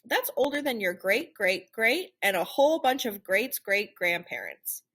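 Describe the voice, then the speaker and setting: Animated woman reading aloud, slightly husky. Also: a little high, unhurried. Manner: animated, theatrical storytelling. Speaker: picture book reader. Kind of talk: expressive children's read-aloud narration.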